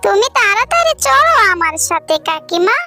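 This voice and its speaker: A woman's voice: very high voice, sing-song, normal speed, pushed and bright, faint music behind, full character voice. A woman voicing a kind, earnest young girl animal who pleads, in a children's cartoon style. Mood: earnest pleading. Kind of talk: Bengali children's cartoon voice acting.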